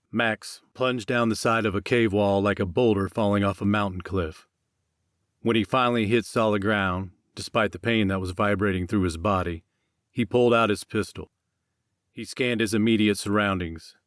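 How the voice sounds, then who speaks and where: A man with a fairly low voice narrates an audiobook. He reads scripted dramatic fiction, and the recording is dry, with no room sound. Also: dry recording.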